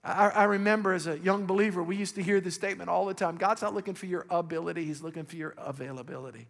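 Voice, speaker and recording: Raspy-voiced male pastor preaching in a hall. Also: high voice, quick pace. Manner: preaching with rising emphatic calls and questions to the congregation. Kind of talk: sermon.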